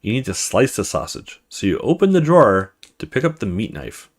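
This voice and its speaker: A man's voice: lowish voice, very animated, brisk. A man hosting a let's play, reading a horror visual novel aloud in a dramatic storytelling way with casual asides.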